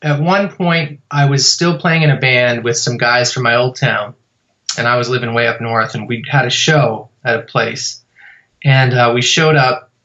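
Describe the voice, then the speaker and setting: Male podcast guest, mid pitch, loose rambling storyteller, slightly muffled audio. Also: fairly even intonation, normal speed, sharp tone. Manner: rambling, many fillers and restarts. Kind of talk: casual storytelling interview.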